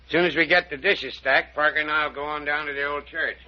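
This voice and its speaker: An actor playing a folksy, placating country doctor with a rural American accent in an old-time radio mystery. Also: male voice, on the high side, fairly even intonation, a little fast, natural voice. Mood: matter-of-fact. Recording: dull, muffled vintage radio recording.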